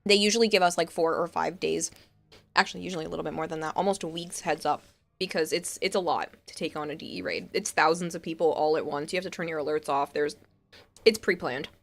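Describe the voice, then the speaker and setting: A woman's voice: deep voice, fairly even intonation, fast, vocal fry. A young woman with a Boston accent talks to her live-stream chat in a chatty, reassuring way. She replies to viewers and thanks them as she goes. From Massachusetts.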